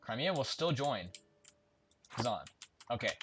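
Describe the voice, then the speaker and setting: A man's voice: mid-range voice, big pitch swings, measured pace, a bit bright, a little hiss or hum. A man casually commentating a strategy game as he plays, thinking aloud and correcting himself as he goes. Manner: thinking aloud while playing, chatty and self-correcting. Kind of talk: casual let's-play commentary. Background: game music and keyboard typing.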